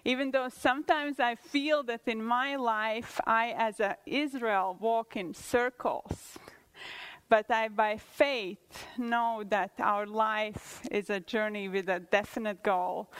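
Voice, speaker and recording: A woman's voice: on the high side, fairly bright tone, smooth, rough recording. A woman from Latvia in her thirties, with a Latvian accent, speaking English as a second language, giving an earnest testimony-style sermon at church with some hesitant restarts.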